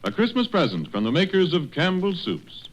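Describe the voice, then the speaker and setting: A man's voice: high-pitched, huge pitch swings, moderate pace, rough recording. A man announcing a vintage radio programme in a formal, declamatory style. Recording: vintage radio broadcast with music behind.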